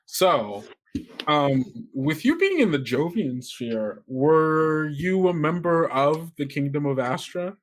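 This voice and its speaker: A man's voice: fairly high pitch, big pitch swings, slow, rough recording. A man casually hosting a tabletop roleplaying podcast in an informal, joking way. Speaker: game master and host.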